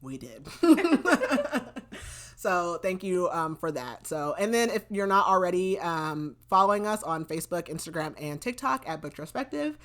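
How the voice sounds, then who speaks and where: Chatty woman podcast co-host, lowish voice. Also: very animated, unhurried, fairly bright tone. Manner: chatty, laughs often, trails off mid-sentence. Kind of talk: casual chat.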